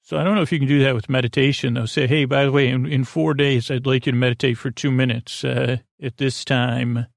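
A middle-aged man with a creaky voice hosting a bedtime podcast, rambling in a silly, halting way and drifting off mid-thought. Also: mid-range voice, fairly even intonation, no echo, studio quality. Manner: meandering, halting, repeats and trails off mid-thought; silly, whimsical. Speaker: podcast host.

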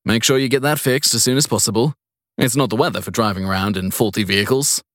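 A man playing a male cop, stern, suspicious, formal and clipped, pitched up, crime audiobook character. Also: fast talker, a bit bright, dry recording, clean studio sound, close to an everyday voice. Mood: curt, official. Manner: clipped formal commands ending in "sir". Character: stern, suspicious male police officer, curtly polite.

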